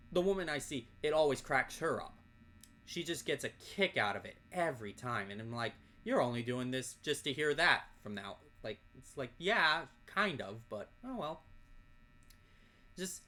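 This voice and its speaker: Bright-voiced rambling young man. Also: medium pitch. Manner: rambling, jumps from topic to topic; reads off card names in quick lists. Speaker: card unboxing host. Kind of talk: casual unboxing chat.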